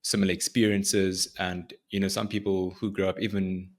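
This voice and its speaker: A man hosting a podcast interview, asking reflective questions in measured, short phrases. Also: low voice, flat delivery. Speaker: podcast host.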